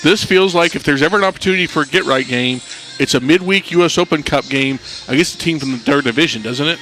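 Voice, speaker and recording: Bright-voiced male radio pregame host. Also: on the high side, fast talker, soft background music. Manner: reads lineups, then poses questions to the analysts. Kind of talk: radio sports pregame hosting.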